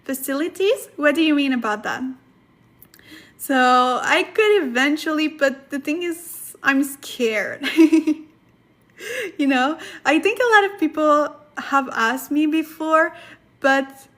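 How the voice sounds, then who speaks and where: High-voiced woman hosting a chatty live lesson. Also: animated, takes it slowly, a bit bright. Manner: chatty, laughs often, many fillers. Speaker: Korean language teacher, livestream host. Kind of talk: casual livestream lesson, reading chat comments.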